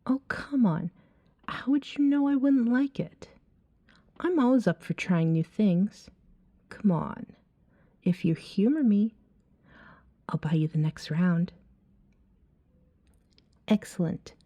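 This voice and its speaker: A self-assured vampire woman, played by a woman, flirts in an intimate ASMR roleplay. Her voice is soft and mellow, recorded dry and close. She takes her time, teasing and coaxing with breathy asides. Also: medium pitch, expressive, slow pace, long pauses, no room sound, dull audio. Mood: teasing, persuasive. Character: flirty, self-assured female vampire chatting up a stranger at a bar. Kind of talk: ASMR roleplay, acted one-sided dialogue.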